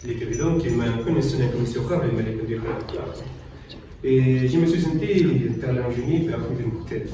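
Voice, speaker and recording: A man's voice: medium pitch, moderate pace, warm tone, lightly acted. Distant, echoey man acting as jackpot winner. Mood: moved, halting. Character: emotional male jackpot winner on a quiz show. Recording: echoey room, distant mic, noisy.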